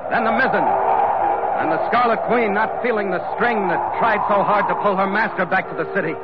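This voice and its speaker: An American actor playing a terse, steadfast sea captain who also narrates a classic radio adventure. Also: male voice, high-pitched, brisk, a loud crowd, heavy background noise, lightly acted. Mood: stirring narration. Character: brooding, steadfast male sea captain of a sailing ship. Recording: dull vintage radio recording, loud music.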